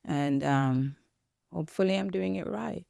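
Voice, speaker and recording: Jamaican lady in her 50s, low smooth voice, thoughtful and measured. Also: mellow, no echo, studio quality. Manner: reflective, measured storytelling. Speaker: guest, educator and author. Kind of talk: interview conversation.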